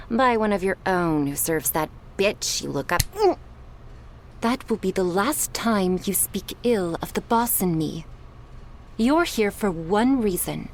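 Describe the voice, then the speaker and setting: A woman acting confrontational women in a vampire crime syndicate roleplay, speaking deliberately in a dramatic audio style. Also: lowish voice, vocal fry, slight background noise. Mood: defiant.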